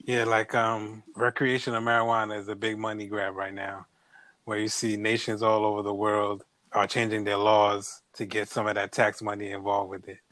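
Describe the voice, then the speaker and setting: Young male podcast co-host, relaxed and low-pitched, dry recording. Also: moderate pace, dry recording. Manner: easygoing, laughs between remarks. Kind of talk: casual chat.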